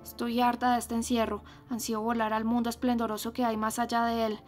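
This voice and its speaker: A woman reading an audiobook voices a feverish, dying young woman, speaking higher and louder in a pleading tone. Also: monotone, a little fast, soft background music, lightly acted. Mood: yearning. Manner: pleading, raised, higher than narration.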